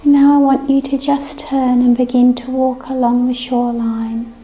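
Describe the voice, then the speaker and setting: Female meditation guide, gentle and soothing, high and mellow, over music. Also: level tone. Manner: gentle, soothing, unhurried instructions.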